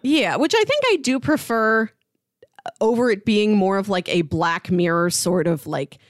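Female podcast host, mid-pitched, animated and informal, reviewing TV in a dry recording. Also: a little slow, no room sound. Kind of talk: casual TV review chat.

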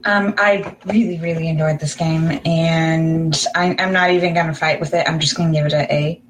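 A woman with a low-pitched voice reviews casually on a podcast. Her intonation stays quite flat and even, in an informal conversational style. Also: moderate pace, low-quality recording. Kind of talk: casual chat.

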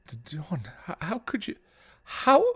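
A man performs an angry teenage girl in a storybook drama, hurt and defiant toward an older sibling. The pitch is lifted high, with stammering, emotional accusations. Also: wildly swooping pitch, takes it slowly, sharp tone, slightly rough, exaggerated voice. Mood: defiant, upset.

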